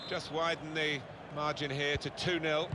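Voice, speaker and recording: A man giving play-by-play football commentary, building excitement whenever a chance opens up. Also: a little high, normal speed. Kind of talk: lively match commentary. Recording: in-game commentary under loud game noise.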